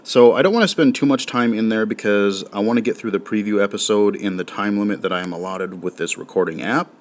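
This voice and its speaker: Low-voiced man demoing an app, rambling. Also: fast. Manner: rambling, filler-heavy. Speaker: game reviewer. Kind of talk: casual spoken app walkthrough.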